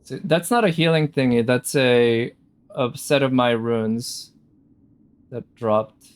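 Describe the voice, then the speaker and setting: A man giving casual, unhurried live commentary as a game streamer, musing aloud as he goes. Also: medium pitch, clean tone. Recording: game music behind the voice. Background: game music.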